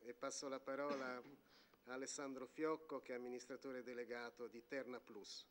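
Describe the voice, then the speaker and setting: Flat-voiced male moderator. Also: mid-range voice, moderate pace. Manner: polite, self-deprecating, brief. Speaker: session moderator. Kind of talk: formal moderating.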